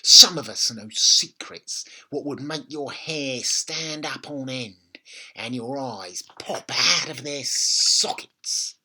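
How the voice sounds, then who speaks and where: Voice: medium pitch, pushed and bright, full character voice. A reader voicing a nasty, witchy old grandmother in a storybook, bossy and gloating, with a throaty voice and menacing emphasis. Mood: sinister whispering. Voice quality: throaty, raspy, whispery at times. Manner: snapping, slow menacing emphasis.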